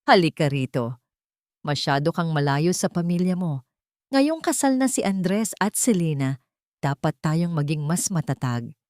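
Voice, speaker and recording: A woman's voice: low-pitched, very animated, a little slow, a little mellow, no room sound. A family patriarch with a falsely sweet, chilling manner , as a woman narrator plays him. The audio-story recording is very dry. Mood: sweetly menacing.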